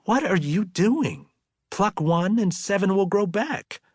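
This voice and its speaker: A man's voice: sits high, expressive, slow, darkish tone, no room sound, studio quality, voice pushed up, exaggerated voice. Man voicing a wry middle-aged mother. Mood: exasperated. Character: middle-aged mother, musing, mildly exasperated.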